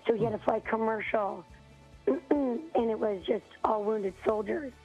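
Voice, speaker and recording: A hoarse mother in her thirties calling in to a radio show, telling her story haltingly with many ums and throat-clearing. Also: fairly high pitch, faint music behind. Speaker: parent on a radio call. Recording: tinny phone line.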